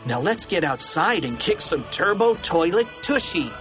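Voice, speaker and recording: A man voicing excitable, cheeky young schoolboys in a storybook. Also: high-pitched, slow pace, music in the background, pitched above the performer's own voice, exaggerated voice. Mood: excited. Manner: eager, cheeky.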